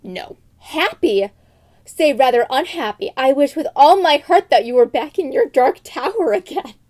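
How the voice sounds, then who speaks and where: A woman's voice: sits high, animated, moderate pace, darkish tone, pitched above the performer's own voice. A woman puts on a greedy, ungrateful young man from a comic fairy tale. He is curt and grumpy, forever complaining to the one who adores him. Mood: scornful. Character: selfish, grumpy, greedy young male ambassador, ungrateful boyfriend.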